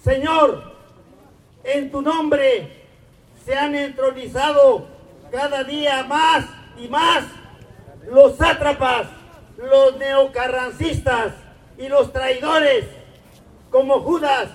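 An older Mexican man reading a letter aloud as a solemn, pleading public oration, declaiming in short phrases with deliberate pauses. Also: very high voice, fairly even intonation. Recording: voice far from the microphone.

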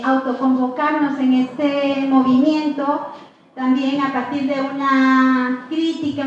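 A woman presents in a steady, explanatory way, often pausing on "eh". Her voice sits fairly high. The sound is dull and muffled, with heavy echo from a large room. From Guatemala. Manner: steady and explanatory, frequent "eh" pauses. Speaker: activist speaker on a panel. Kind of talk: public talk presentation.

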